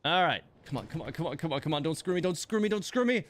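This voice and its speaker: Exasperated male game streamer, wide pitch swings. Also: animated, quick pace. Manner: exasperated grumbling with mild swearing and sighs. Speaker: game livestream host. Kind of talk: casual livestream chat and reactions while playing.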